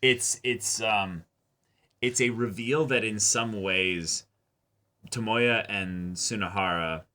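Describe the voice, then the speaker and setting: A man's voice: lowish voice, slow, sharp tone. A man co-hosting a discussion show, talking casually and expressively in slangy language. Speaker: co-host of an anime reaction and discussion show.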